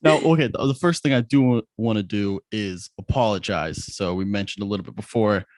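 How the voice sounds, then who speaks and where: A man's voice: on the low side, fairly quick, darkish tone, no echo. Chatty young man hosting a podcast. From Indiana. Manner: chatty, self-deprecating, many restarts. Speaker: podcast host. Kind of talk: casual interview chat.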